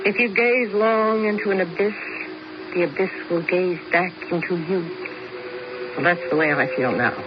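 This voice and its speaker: A woman's voice: fairly low pitch, moderate pace, dull audio, lightly acted. An actress playing a cool, scheming woman who narrates a 1970s radio drama. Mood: brooding. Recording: hissy old radio broadcast.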